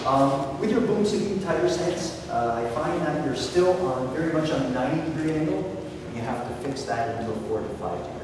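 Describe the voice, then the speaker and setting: Man, martial arts instructor, projecting voice, methodical feedback, far from the mic, booming hall echo. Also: noisy background. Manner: big projecting voice, methodical and encouraging. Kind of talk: spoken feedback at a belt test. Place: large echoing training hall. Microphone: distant camera mic. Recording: echoey hall recorded from far off.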